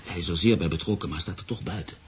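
An actor playing an anxious, brooding expectant father in a Dutch radio drama, speaking in a sombre, measured way. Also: deep voice, slight background noise, natural voice. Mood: tense. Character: Freek, brooding anxious man, expectant father, narrating his story.

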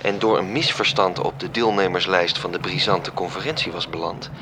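A man's voice: deep voice, fairly even intonation, normal speed, creaky, background noise. A man narrating a scripted radio drama as its storyteller.

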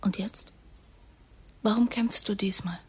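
A woman's voice: medium pitch, fairly even intonation, slow pace, slight background noise, close to an everyday voice. An actress playing a defiant, wounded young woman agent in a radio drama, with a blunt, challenging manner. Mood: hushed, distressed.

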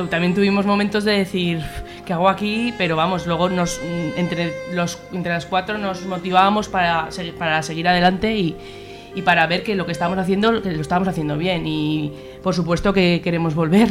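A woman, an activist guest on a radio interview, hesitant and restarting her phrases. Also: fairly low pitch.

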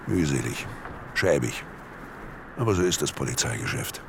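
An actor playing a world-weary, dryly ironic narrator in a Berlin crime radio drama. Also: male voice, a bass voice, lively intonation, moderate pace, vocal fry, heavy background noise, exaggerated voice. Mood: weary, cynical. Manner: dry, ironic.

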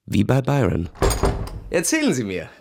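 An actor playing a proud, bored, cynical army officer who tells his story in a cool, measured, ironic way for a radio play. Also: medium pitch, wildly swooping pitch, vocal fry, noisy background, heavily acted. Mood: observant. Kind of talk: literary radio play narration and dialogue.

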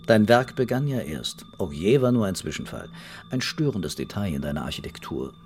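An actor playing a calm, relentless police investigator in a radio crime drama, ironic and deliberate in his accusations. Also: on the low side, soft background music. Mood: quietly insistent.

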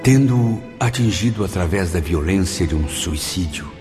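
A man's voice: sits low, lively intonation, moderate pace. An actor playing a remorseful spirit of a man, narrating solemnly in a radio drama. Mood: sorrowful, solemn. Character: remorseful male suicide spirit recounting his long penance. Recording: long reverb, music behind.

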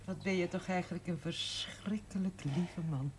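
An actress playing a candid, gently teasing woman in a 1970s television drama, wry and conversational. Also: lowish voice, moderate pace, close to an everyday voice. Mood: teasing, intimate. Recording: slightly noisy 1970s television soundtrack.

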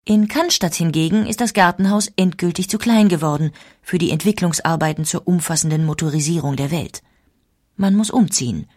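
A woman reads scripted documentary narration. Her voice lies a little lower than usual for a woman. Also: a little fast, darkish tone.

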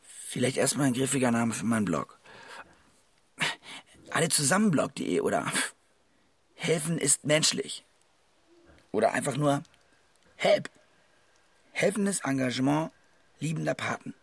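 Brooding middle-aged brother, husky voice, self-doubting, wry, wordy, radio play. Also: male voice, mid-range voice, big pitch swings, unhurried, long pauses, no room sound, heavily acted. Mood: musing, wry. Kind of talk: radio drama acting, dialogue and inner monologue.

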